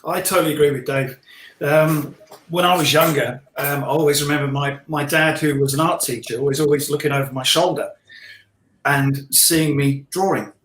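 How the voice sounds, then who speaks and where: A middle-aged man talks in a casual, easygoing way with dry jokes at his own expense. His voice sits a little high, and the room adds clear echo. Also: fairly bright tone. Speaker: illustrator guest. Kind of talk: casual online live chat. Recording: echoey room sound.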